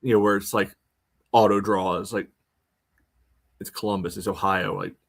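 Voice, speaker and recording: An American man co-hosting a casual soccer podcast, giving measured analysis in a halting, filler-heavy way. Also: fairly low pitch, steady tone. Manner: halting, measured, peppered with "you know". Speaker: podcast host.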